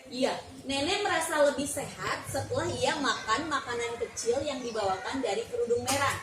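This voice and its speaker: High-voiced woman teacher coaxing answers. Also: moderate pace, recorded from a distance. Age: young adult. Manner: coaxing questions, prompts with half-words. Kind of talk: reading a story aloud and quizzing a child. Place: classroom. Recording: echoey room, voice from off-mic, clear noise.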